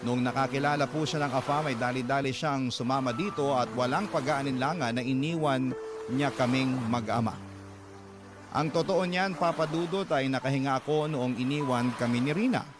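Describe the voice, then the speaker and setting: A man playing a weary, long-suffering father, narrating his story earnestly in measured, scripted radio drama style. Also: medium pitch, close to an everyday voice. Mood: reflective, regretful. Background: background music.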